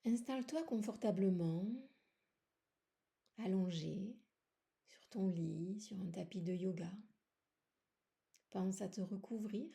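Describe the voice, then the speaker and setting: A woman guiding a relaxation meditation in a soothing, unhurried manner, with short phrases, long pauses and a soft voice that at times slips toward a whisper. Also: medium pitch, vocal fry. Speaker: yoga nidra guide.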